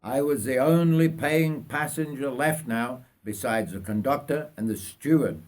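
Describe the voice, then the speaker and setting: An older man from North Yorkshire narrates his own autobiographical story. His pitch sits in the middle range. He tells it in a measured, folksy way, as a writer reading his work aloud. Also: darkish tone. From North Yorkshire, England.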